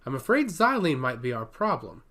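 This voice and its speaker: A man's voice: medium pitch, sing-song, normal speed, fairly bright tone. A man voicing a blunt, determined male island envoy in a fantasy audiobook. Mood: earnest. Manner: direct, to the point.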